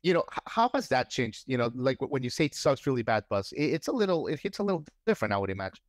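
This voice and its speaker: A man hosting a casual podcast interview. He asks long, eager questions that wander through fillers and restarts. His voice sits at a medium pitch and is recorded dry and close. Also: sing-song, rapid-fire, dry recording. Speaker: podcast host.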